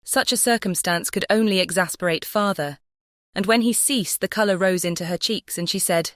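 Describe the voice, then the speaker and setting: A woman's voice: medium pitch, moderate pace, a bit bright, no room sound, studio quality. A woman narrating a classic novel as an audiobook, composed and carefully phrased. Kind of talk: scripted literary narration.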